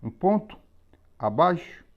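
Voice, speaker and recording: A man teaches a religious lesson, unhurried and methodical. He circles back to repeat points and pauses often. The recording sounds slightly muffled and lo-fi. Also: sits high, sing-song. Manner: slow, methodical explaining with pauses and repetitions. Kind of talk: teaching a lesson on Hebrew letters.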